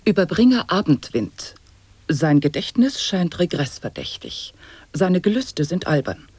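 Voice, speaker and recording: An actor playing an eccentric chanter of nonsense sound poetry in an avant-garde radio play, husky and prone to whispering. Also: male voice, fairly high pitch, big pitch swings, a little slow, darkish tone, no room sound, exaggerated voice. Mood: incantatory, playful.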